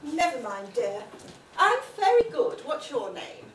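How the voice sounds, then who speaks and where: A woman's voice: high-pitched, big pitch swings, unhurried. A woman playing a fussy, scolding senior fairy in a pantomime, projecting like a schoolmistress. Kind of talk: pantomime stage acting with audience participation. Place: amateur theatre stage in a hall. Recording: echoey hall, distant microphone, noisy.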